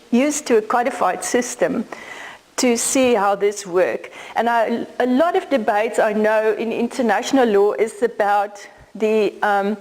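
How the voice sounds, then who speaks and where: A woman's voice: a little high, a little mellow, rough recording. A middle-aged South African woman whose first language is Afrikaans, giving a law lecture in a conversational, explaining way with some fillers. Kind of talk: academic lecture.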